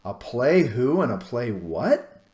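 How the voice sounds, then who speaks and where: A man's voice: mid-range voice, sing-song, slow pace, darkish tone, echoey room. A man reading a children's storybook, voicing a kind but firm, plain-spoken farm mother. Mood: firm, exasperated.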